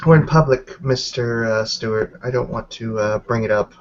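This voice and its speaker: A man's voice: medium pitch, moderate pace, natural voice. A man playing a guarded, haughty businesswoman in a tabletop game, dry and evasive. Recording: dull, noisy home mic.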